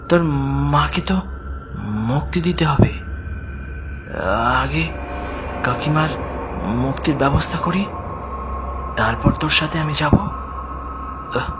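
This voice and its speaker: A man's voice: slow pace, long pauses, over loud music. A man voicing a frightened, hesitant boy who stammers anxiously, in a horror cartoon. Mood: panicked, bargaining. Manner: hesitant, stammering, high-strung. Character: frightened, anxious boy searching for his lost brother.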